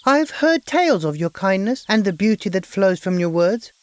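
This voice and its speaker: A man's voice: high voice, very animated, moderate pace, clean tone, dry recording. A man voicing a courteous young prince in a fairy tale, formal and courtly in manner. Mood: admiring, courteous. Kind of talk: fairy tale character acting.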